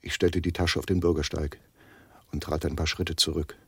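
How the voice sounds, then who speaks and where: An actor playing a man recounting a deadly shootout in measured, literary narration for a German radio play. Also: extremely low pitch, level tone, gravelly, slightly rough, dry recording, exaggerated voice. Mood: grave, measured.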